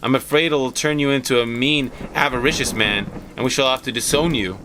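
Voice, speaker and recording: A man's voice: mid-range voice, fairly quick, background noise, natural voice. A man voicing a frivolous grown son in a storybook, teasing in a mock-dramatic way. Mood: joking.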